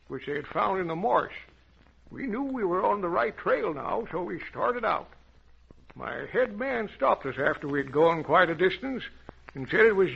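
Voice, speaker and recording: An old-time radio storyteller, a jovial old adventurer. His voice is creaky and husky, swinging in lively folksy turns through a dull, lo-fi recording. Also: high-pitched, exaggerated voice. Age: older. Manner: folksy, chuckling, rambling storyteller. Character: jovial old adventurer telling children tales.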